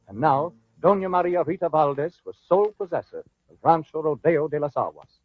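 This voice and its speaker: A man giving formal, measured scripted narration for an old-time radio drama. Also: on the high side, slightly rough, no echo. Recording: dry, muffled old radio recording.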